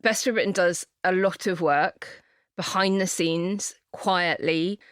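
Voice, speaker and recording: A woman's voice: on the low side, steady tone, slow, clean tone, dry recording, studio quality. A woman hosting a podcast in an emphatic, conversational way. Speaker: podcast host. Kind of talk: casual chat and appeals to listeners.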